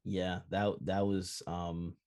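A man co-hosting a sports podcast, talking in halting bursts with many restarts, easily excited. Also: low-pitched. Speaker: podcast co-host. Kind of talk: casual sports chat.

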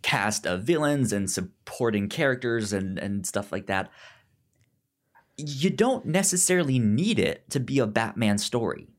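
A man's voice: medium pitch, expressive, a little slow. A man speaking as a podcast co-host in casual discussion, often stuttering and repeating sounds and words as he goes. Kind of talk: casual discussion of comics and film.

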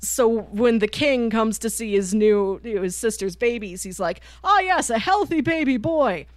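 A woman co-hosting a book podcast in casual conversation, telling stories in an animated, informal way. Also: fairly high pitch, a little slow, no echo. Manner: animated storyteller, acting out quoted lines informally. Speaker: podcast co-host reviewing books.

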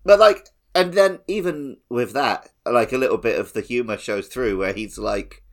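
A man's voice: medium pitch, normal speed. A man co-hosting a podcast, chatting casually and animatedly with his opinions about a video game. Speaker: podcast co-host.

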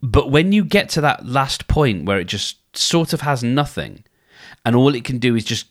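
A male podcaster talking through advice in a loose, conversational monologue. His pitch moves freely up and down, and he often restarts phrases as he thinks aloud. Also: animated, normal speed. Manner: conversational, thinks aloud, acts out inner dialogues; frequent restarts and filler phrases. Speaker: podcast host.

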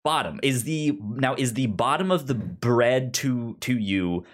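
A man's voice: medium pitch, a little slow. A man co-hosting a casual chat show, with a stutter that repeats syllables and sounds as he talks. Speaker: podcast co-host.

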